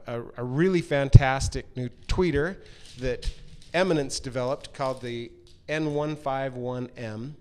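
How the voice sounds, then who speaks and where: A middle-aged man with a clean, smooth voice gives an informal product presentation. He speaks at an ordinary male pitch into a handheld mic, often halting mid-phrase to find the next piece of his explanation. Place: large hard-walled workshop room, indoors. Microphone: handheld microphone held close.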